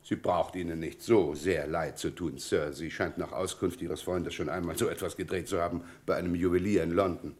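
A man acting a self-assured police inspector in a German radio crime play, brisk and courteous with wry irony. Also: deep voice, steady tone, darkish tone, lightly acted. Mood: courteous, explaining. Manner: brisk, courteous, wryly ironic.

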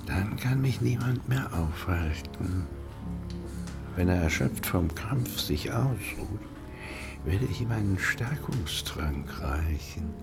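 A man's voice: low voice, expressive, takes it slowly, creaky, exaggerated voice. An actor playing a sly, murderous dwarf in a fantasy radio play, muttering his plans conspiratorially. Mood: scheming. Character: sly, murderous male dwarf plotting for gold. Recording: studio radio play with music underneath.

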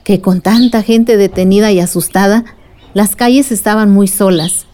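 A woman's voice: mid-range voice, moderate pace, warm tone, close to an everyday voice. An actress playing a woman recounting village events in a radio drama. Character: woman recounting village troubles to an eager listener.

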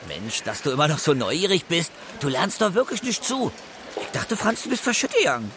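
A man's voice: fairly high pitch, wildly swooping pitch, fairly quick, background noise. A man playing a cheeky, streetwise street trader in a radio drama. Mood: teasing, breezy.

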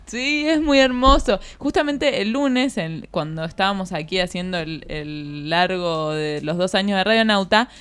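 A woman's voice: medium pitch, a little slow, few pauses, fairly bright tone, clear, resonant tone, no echo. A woman hosting a radio show, giving announcements and encouraging her guests. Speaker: radio host. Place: radio studio.

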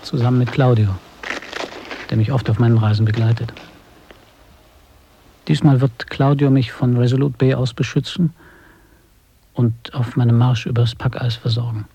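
A man acting an earnest, reflective adventurer in a radio drama, speaking in a measured, introspective way. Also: mid-range voice, fairly even intonation, noisy background, natural voice. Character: earnest, reflective male adventurer setting out for the Pole.

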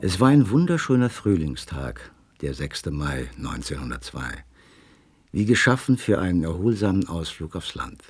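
A man playing a reflective, genteel gentleman storyteller, narrating a German radio crime drama in an unhurried, literary manner. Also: deep voice, big pitch swings.

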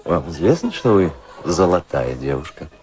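Насмешливый светский ловелас с живыми интонациями. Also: male voice, low-pitched, big pitch swings, normal speed, a little mellow. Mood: flirtatious. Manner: teasing, ironic, theatrical delivery. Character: flirtatious, flippant society playboy, relentlessly wooing. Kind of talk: radio play acting. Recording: music playing behind the voices.

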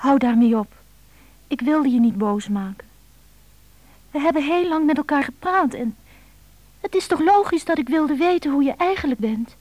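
A woman's voice: sits high, animated, moderate pace, long pauses, darkish tone, no room sound. An actress playing a needy, lovelorn woman pleading insistently on the telephone in a radio drama.

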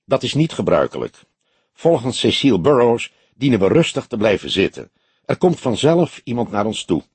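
A man's voice: medium pitch, very animated, moderate pace, a little mellow, husky, dry recording. A man voicing an older, grey-haired detective with a laconic, thoughtful way of talking, in an audiobook. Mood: laconic, calm.

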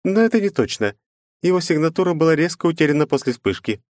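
Man putting on a high bossy tough-girl fighter, teasing, dry close mic. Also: big pitch swings, fast talker, darkish tone, dry recording. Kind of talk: audiobook character voice.

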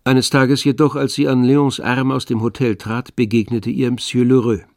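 An actor playing the detached, wry narrator of a literary radio play, with a gravelly voice. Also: male voice, medium pitch, flat delivery, moderate pace, darkish tone, no echo, studio quality, natural voice.

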